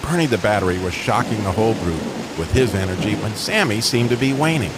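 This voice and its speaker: A man tells a dramatic scripted story in a low voice. His intonation is highly expressive, and rain and rushing water sound clearly behind him. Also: very noisy. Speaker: narrator.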